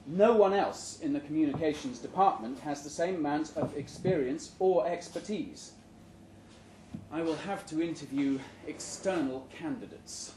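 Deadpan man reading with animated pitch, roomy venue. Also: moderate pace. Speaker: reader of a short story at a live event. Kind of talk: live dramatic short story reading. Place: indoor room at a live literary event. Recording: roomy live venue recording with background noise.

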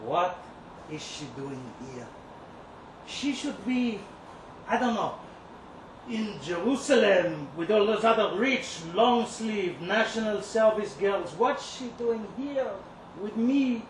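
A man's voice: high voice, lively intonation, slow. A man reading a dramatic monologue live, playing a cocky, lovesick, resentful kitchen worker in a colloquial, confiding, rambling way. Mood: wistful, puzzled. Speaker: story reader. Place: indoor live reading event. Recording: roomy, distant live-event recording, faint noise.

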